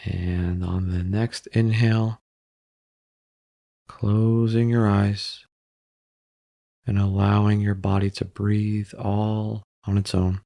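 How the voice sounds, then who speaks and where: A man narrating a guided meditation in a calm, unhurried way, pausing between short phrases. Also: on the low side, no room sound.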